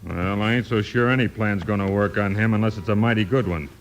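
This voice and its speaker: An actor playing a sullen outlaw's cowhand in a radio Western, with a whiny American Western drawl. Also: male voice, on the low side, fairly quick, slight background noise, lightly acted. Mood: wary. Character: wary, surly cowhand henchman to an outlaw. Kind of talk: old-time radio Western acting.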